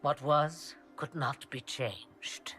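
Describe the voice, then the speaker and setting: A woman's voice: deep voice, a bit bright, exaggerated voice. An actress playing an ancient, regal witch of legend in a video game, speaking in a cryptic, wry, theatrical manner. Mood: grave, commanding. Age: older. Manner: theatrical, grandly cryptic, deliberate phrasing. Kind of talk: dramatic video game acting. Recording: orchestral game music behind the voice.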